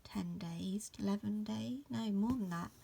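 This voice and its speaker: A woman in her thirties with a croaky voice from hay fever, casually talking through an embroidery tutorial at home, full of ums and restarts. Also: mid-range voice, a little slow. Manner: relaxed, many fillers and restarts. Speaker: embroidery teacher. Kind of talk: casual stitch-along tutorial. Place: upstairs room at home.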